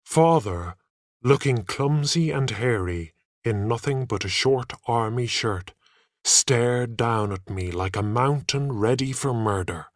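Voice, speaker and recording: A man reading a story aloud in an expressive, deliberate narrating style. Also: medium pitch, dry recording, studio quality. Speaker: audiobook narrator.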